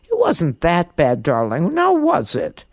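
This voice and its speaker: Casting: female reader for a doting ghost mum in a storybook, firm and coaxing, animated, swooping intonation. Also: lowish voice, slow pace, no room sound, clean studio sound, full character voice. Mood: soothing. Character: brisk, doting ghost mother, coaxing and insistent. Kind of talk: storybook character voice.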